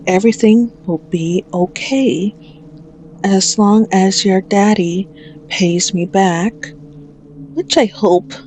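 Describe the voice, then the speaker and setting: A woman's voice: mid-range voice, darkish tone, slight background noise, close to an everyday voice, rough recording. A woman playing a possessive, manipulative female mafia boss in an ASMR audio roleplay, menacing and taunting with a mock-tender manner.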